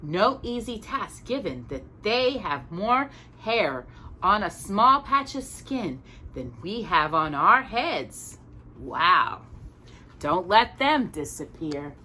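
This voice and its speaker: A woman hosting a storytime, reading a picture book aloud in an expressive, chatty way with casual asides. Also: medium pitch, takes it slowly, a little hiss or hum. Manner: expressive, chatty, stumbles and corrects herself.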